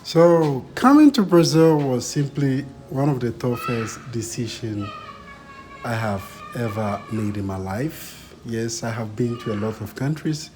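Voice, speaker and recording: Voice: medium pitch, unhurried. A vlogger with a Ghanaian accent speaking to viewers in a reflective, conversational way, repeating points for emphasis. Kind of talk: personal vlog narration to viewers. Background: music.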